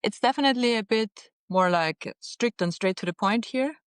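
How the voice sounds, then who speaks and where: A woman's voice: a little high, normal speed, clean tone, dry recording, clean studio sound. A young woman from Austria with an Austrian German accent, chatting reflectively as a podcast guest. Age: young adult. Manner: reflective, frequent "like" and soft laughs. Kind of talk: casual interview chat. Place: apartment on a high floor.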